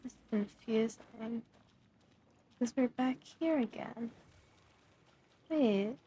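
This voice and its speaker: A woman's voice: fairly high pitch, slow, mellow, slight background noise. A young woman commenting casually on her gameplay, thinking aloud in a hesitant way with many fillers and trailing phrases. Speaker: game streamer.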